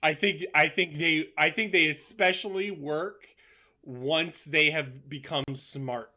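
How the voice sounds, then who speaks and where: A man chats about movies as a podcast co-host. His voice is bright and a little high, and he feels his way through sentences haltingly. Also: fairly even intonation. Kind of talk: casual movie discussion.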